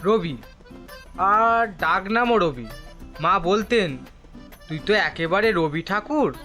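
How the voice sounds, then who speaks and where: Comic audio drama role: male actor as luckless cocky job hunter, high bright voice, glib and theatrical. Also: expressive, takes it slowly, soft background music, heavily acted. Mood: eager, cocky. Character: hapless, wisecracking male job seeker, overconfident, perpetually unemployed. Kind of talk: comedy audio drama acting.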